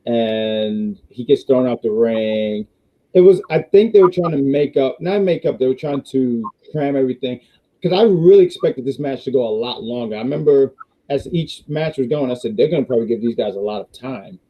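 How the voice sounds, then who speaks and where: A man hosting a casual wrestling review stream, rambling with restarts and reading viewer chats, his voice going hoarse. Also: medium pitch, moderate pace, dull audio. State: losing his voice. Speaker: wrestling review show host.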